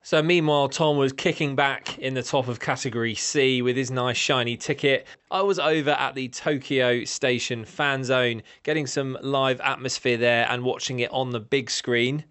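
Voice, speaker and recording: A man's voice: medium pitch, steady tone, normal speed, hardly any pauses, a bit bright, clean tone. Upbeat man presenting a sports show. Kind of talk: scripted presenting.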